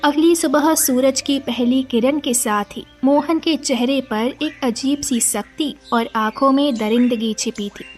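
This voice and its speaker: Female narrator for animated bedtime tales, very high voice, scripted delivery, light music underneath. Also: unhurried, hardly any pauses.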